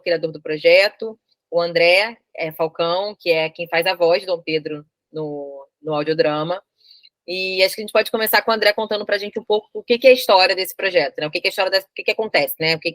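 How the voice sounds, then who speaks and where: A woman's voice: on the low side, quick pace, fairly bright tone, no echo. A woman hosting an informal interview, chatty and a little hesitant in her phrasing.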